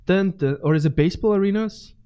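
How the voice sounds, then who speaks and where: A man's voice: high-pitched, fast, mellow, clear, resonant tone. A man co-hosting a casual podcast, enthusiastic and rambling, laughing often. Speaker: podcast co-host. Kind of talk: casual chat.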